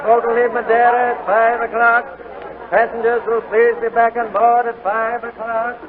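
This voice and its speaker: A man's voice: very high voice, normal speed. An actor playing a ship's crewman calling out announcements to passengers in a 1940s radio drama. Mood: official, insistent. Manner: calling out, monotone. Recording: noisy, tinny 1940s radio broadcast.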